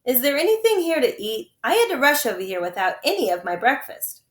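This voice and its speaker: Storybook doctor, male, smug and coolly evasive, calm delivery woman reader acting it, roomy sound. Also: sits high, a little fast, voice pushed up. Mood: calmly flippant. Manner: calm, breezily dismissive.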